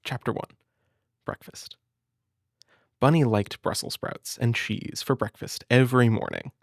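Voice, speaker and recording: Soothing male big-brother type for bedtime-style reading: unhurried, close and dry, tender, slightly hesitant. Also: medium pitch, sing-song, creaky, dry recording. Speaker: audio roleplay performer as a caring big brother. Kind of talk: intimate comfort roleplay and storybook reading.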